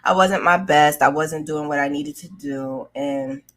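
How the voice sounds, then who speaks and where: Casual female vlogger, slightly low voice, candid storyteller who leans on repetition for emphasis. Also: unhurried. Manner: candid, conversational, repeats phrases for emphasis, acts out quotes. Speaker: crochet craft vlogger and storyteller.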